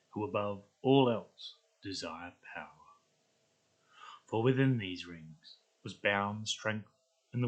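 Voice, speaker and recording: A man playing a solemn narrator of an epic fantasy film prologue, reading in a measured way. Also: fairly low pitch, poor audio.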